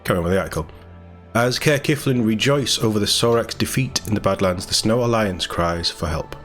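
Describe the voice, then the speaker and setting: Male gaming news host, low voice, casual and enthusiastic read-through with commentary, music underneath. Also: a little fast, a bit bright. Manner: chatty, informal, enthusiastic. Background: background music.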